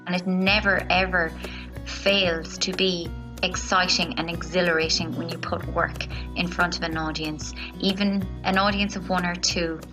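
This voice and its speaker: Halting woman over background music. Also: lowish voice, fairly even intonation. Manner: halting, searching for words, repeating phrases. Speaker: theatre artist. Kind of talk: unscripted reflective talk.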